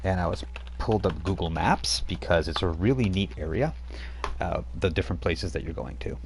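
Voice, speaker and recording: A man with a very low, deep voice runs a tabletop game as game master. He talks in a chatty, rambling way, laughing often and restarting his sentences, over faint background noise. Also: brisk, gravelly. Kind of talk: running a tabletop role-playing game.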